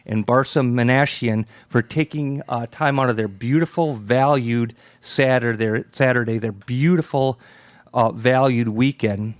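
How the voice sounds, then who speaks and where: An American man with a medium-pitched voice hosts a live radio event. He speaks casually and effusively, stumbling, pausing and repeating himself as he goes. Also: clear, resonant tone. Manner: halting, repetitive, effusive. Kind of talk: casual, unscripted thanks and chat.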